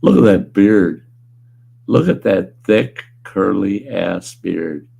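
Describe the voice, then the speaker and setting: Hoarse, deep-voiced gravelly man. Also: very animated, slow, dark tone, dull audio. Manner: laid-back, rambling, chuckles often, salty language. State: hoarse, weakened voice. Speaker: livestream host. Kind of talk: casual livestream chat reading viewer comments. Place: at home.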